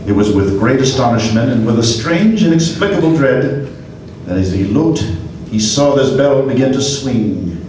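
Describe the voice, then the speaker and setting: A man narrating a live radio play, slow and measured, dropping low on key words. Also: expressive, a little mellow. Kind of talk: dramatic storytelling narration. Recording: echoey room from far off, noisy live stage.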